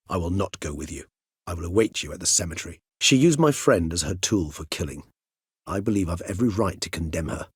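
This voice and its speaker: Video game role: ancient gentleman vampire, low and slightly husky, courteous, learned, wryly melancholy, formal diction. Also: no room sound, studio quality, heavily acted. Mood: grave, resolute. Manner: formal, eloquent, measured, wryly philosophical. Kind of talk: video game character dialogue.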